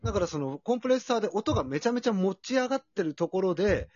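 A man hosting a casual podcast chat, talking in an animated way that mixes casual and polite speech. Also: high-pitched, fast talker. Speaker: host; music creator and sound engineer. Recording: roomy, dull lo-fi sound.

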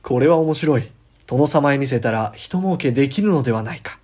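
Male narrator as greedy young villager in a ghost story: husky, pushy, scheming, exclamatory. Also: mid-range voice, animated, normal speed, darkish tone, natural voice.

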